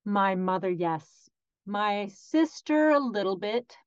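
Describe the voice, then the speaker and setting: Woman interpreter, mid pitch, measured phrasing, dry and slightly dull recording. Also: animated, smooth, no room sound. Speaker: sign language interpreter voicing the guest.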